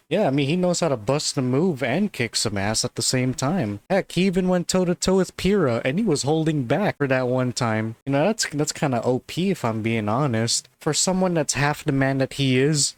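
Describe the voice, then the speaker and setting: A man giving a casual spoken commentary in a loose, chatty way, full of fillers and the odd laugh. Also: medium pitch. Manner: loose and chatty, full of "like" and "you know", laughs now and then. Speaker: video commentator.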